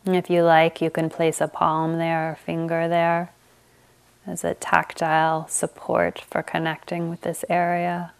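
A woman meditation teacher leading a guided meditation, speaking gently with long pauses. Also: deep voice, completely flat delivery, creaky, clear, resonant tone.

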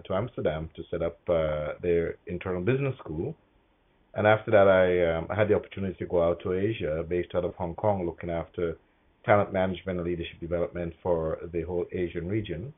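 Middle aged guy from guyana, deep voice, measured halting exec interview answers, slightly muffled audio. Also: breathy edge. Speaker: interview guest, corporate HR executive.

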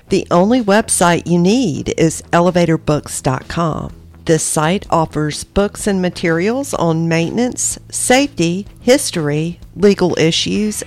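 A woman presenting scripted industry news on a podcast, reading as a narrator. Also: on the low side.

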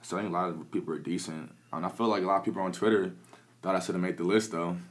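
A man's voice: low voice, steady tone, very fast. A young man from Peoria who raps and produces, talking in a laid-back, mumbly way with lots of fillers and swearing. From Peoria, Illinois. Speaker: rapper and producer. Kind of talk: casual interview chat.

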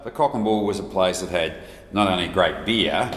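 Deep-voiced Australian man in an echoey room. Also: moderate pace, fairly bright tone. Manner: rambling, jokey, self-deprecating. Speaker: guest, whiskey brand ambassador. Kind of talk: casual chat. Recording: roomy reverberant space with faint noise.

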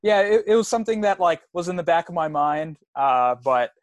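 Soft-spoken young man, dry sound. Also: a little high, lively intonation, brisk, no room sound. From United States, raised partly in Tanzania. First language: Swahili. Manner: soft-spoken, many fillers. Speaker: guest runner. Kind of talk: casual podcast interview.

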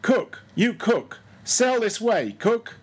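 A brash, hearty ship's officer, acted by a male reader, barking orders in an imperious, mocking way. The voice is pushed well up in pitch from the reader's own, exclamatory and hectoring, for a storybook sea tale. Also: sing-song, takes it slowly, breathy edge, exaggerated voice. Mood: bellowing. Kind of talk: audiobook character voice.

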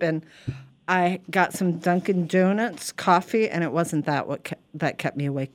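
Lowish-voiced woman chatting. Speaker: interview host. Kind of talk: casual chat.

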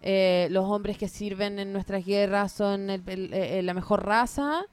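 Sarcastic Chilean woman with a smooth voice. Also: medium pitch, fairly even intonation, unhurried. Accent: Chilean Spanish. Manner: sarcastic, teasing. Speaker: podcast co-host. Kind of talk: casual chat about films. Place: home.

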